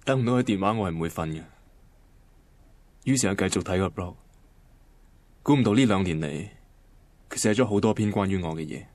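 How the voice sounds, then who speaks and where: An actor playing an easygoing but insecure young boyfriend in a radio drama, speaking Hong Kong Cantonese. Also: male voice, sits low, animated, brisk, long pauses, a little mellow, no echo. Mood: quiet, waiting, then reflective narration. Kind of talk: radio drama acting and first-person narration.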